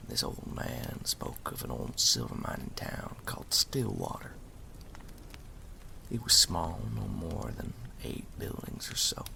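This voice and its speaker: A man playing a wry animal storyteller in a campfire ASMR roleplay, speaking slowly and ominously in a gravelly half-whisper. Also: low voice, heavily acted. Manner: slow, deliberate, folksy, ominous.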